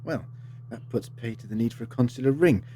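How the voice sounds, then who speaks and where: A man's voice: mid-range voice, brisk, dark tone, a little hiss or hum, natural voice. A man acting in an audio drama as a polite, assured time-travelling adventurer, articulate and theatrical with a wry streak. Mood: wry, playful.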